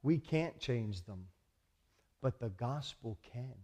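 A man's voice: mid-range voice, animated, takes it slowly, a little mellow. A man preaching a sermon as a pastor, emphatic, often putting rhetorical questions to his congregation.

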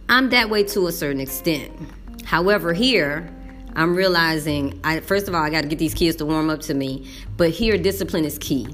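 A woman's voice: lowish voice, fairly bright tone. A Texan woman teacher talking casually about middle school, folksy and emphatic, slipping into the voices she uses in class. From Texas. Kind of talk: casual talk to camera.

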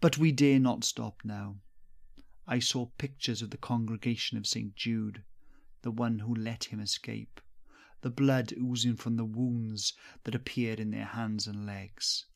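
Male reader for dark short fiction, mid-pitched, unhurried and grave, measured delivery. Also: steady tone, vocal fry. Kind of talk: scripted first-person horror narration.

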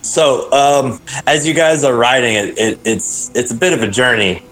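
A man narrating a tabletop game as dungeon master, pausing often with fillers. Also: mid-range voice, fairly bright tone, low-quality recording. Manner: hesitant, many fillers.

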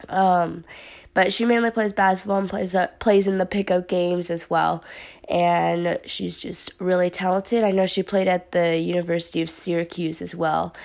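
A young woman hosting her podcast in casual chat, her voice a little raspy from a sore throat, upbeat and chatty with lots of fillers. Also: lowish voice, a little mellow. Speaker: podcast host. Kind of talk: casual solo podcast talk.

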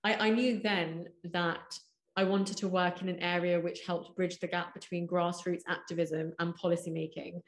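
20s woman, earnest personal account, pretty flat pitch, rhetorical questions. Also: normal speed.

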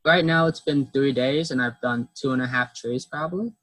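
Husky young man, casual chat. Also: medium pitch, fairly bright tone, dry recording, poor audio. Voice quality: trouble with R sounds. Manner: halting, repeats words, plain. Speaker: farm volunteer.

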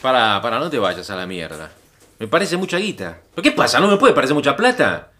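A man's voice: mid-range voice, sing-song, quick pace, noisy background, natural voice. An actor playing a defensive, grumbling middle-aged husband and father with a Rioplatense Argentine accent in naturalistic audio fiction. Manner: colloquial, defensive, swinging in emphasis.

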